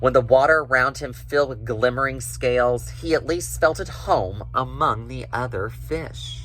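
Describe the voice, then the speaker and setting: A man telling a children's story in an expressive storybook reading style. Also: mid-range voice, moderate pace, rough recording.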